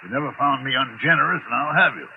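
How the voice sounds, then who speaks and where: A man's voice: medium pitch, fast talker, vocal fry, lightly acted. An actor playing a pompous, snobbish middle-aged businessman with a haunted streak, clipped and imperious, in old-time radio drama style. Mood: haughty, irritated. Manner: imperious, clipped, self-important. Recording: noisy, tinny vintage radio recording.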